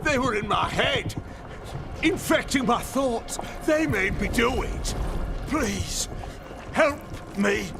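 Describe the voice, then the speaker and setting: Guilty panicky mayor in a video game, high slow creaky voice, begging and making excuses. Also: male voice, animated, full character voice. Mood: pleading, frightened. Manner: halting, pleading, self-justifying. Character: desperate, guilt-ridden village mayor pleading and making excuses. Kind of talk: video game cutscene acting. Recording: loud music behind.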